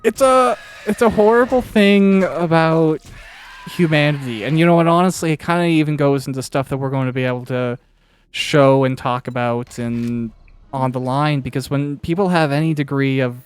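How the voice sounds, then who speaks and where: A man's voice: on the high side, fairly even intonation, normal speed, smooth. A man hosting a casual chat while playing a video game, rambling along, swearing casually and acting out imagined quotes. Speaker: podcast host interviewing a cast member.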